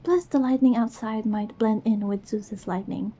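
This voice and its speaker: A woman's voice: fairly high pitch, normal speed, warm tone. A woman playing a goofy, affectionate young girlfriend in an intimate ASMR roleplay, with a playful, teasing manner. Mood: casual, explaining.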